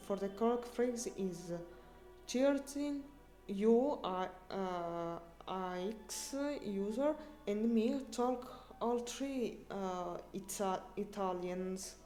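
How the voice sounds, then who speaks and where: A woman streamer chatting casually with her viewers, speaking halting non-native English with frequent restarts while she searches for words. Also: medium pitch, warm tone, soft background music. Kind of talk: casual live-stream chat.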